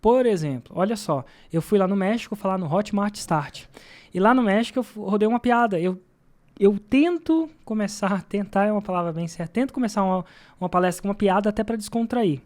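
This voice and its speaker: A man's voice: sits high, darkish tone. A man with a Brazilian Portuguese accent hosts a podcast, talking informally and self-deprecatingly, repeating phrases for emphasis. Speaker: podcast host and marketing teacher. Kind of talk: casual podcast talk with anecdotes.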